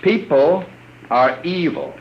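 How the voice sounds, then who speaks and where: An actor playing a commanding, sardonic criminal boss in 1950s radio drama style. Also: male voice, fairly high pitch, slow. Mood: commanding, instructing. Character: domineering, sly male criminal boss, smoothly threatening. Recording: dull, hissy old radio recording.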